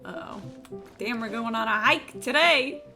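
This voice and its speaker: A woman's voice: fairly high pitch, unhurried. A woman live-streaming a game with casual, joking, sweary and exclamatory commentary. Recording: game music behind the voice.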